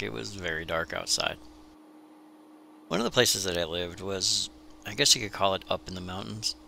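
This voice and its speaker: Low, gravelly man narrating, bright edge. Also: slight background noise. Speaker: maker video host. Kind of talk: scripted voice-over narration, storytelling.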